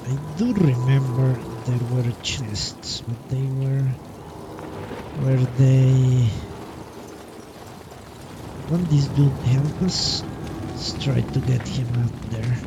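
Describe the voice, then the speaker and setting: A man's voice: mid-range voice, slow, pauses often, background noise. A man casually commentating on his own gameplay live, thinking aloud and muttering to himself with mild swearing. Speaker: live game streamer.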